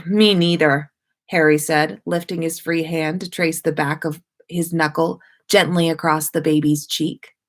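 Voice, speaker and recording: A woman reading a story aloud as expressive narration, like a host at story time. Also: low voice, moderate pace, few pauses. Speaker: host reading listeners' stories on a livestream.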